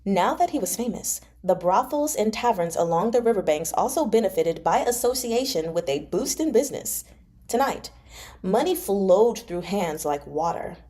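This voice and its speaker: A woman's voice: medium pitch, animated, moderate pace, warm tone. A woman hosting a read-along, narrating a novel expressively and adding casual, chatty commentary. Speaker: host of a live read-along.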